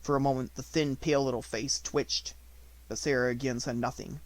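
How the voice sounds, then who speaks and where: A man's voice: medium pitch, steady tone, fairly quick. A man reading scripted narration from a children's novel as an audiobook narrator.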